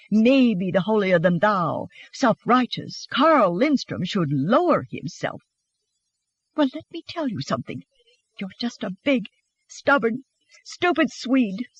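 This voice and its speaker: A narrator voicing a fiery, sharp-tongued young wife in audiobook dialogue, wounded and defiant, spitting out taunts. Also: medium pitch, husky, no room sound, dull audio. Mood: indignant. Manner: spitting, taunting, shouting back.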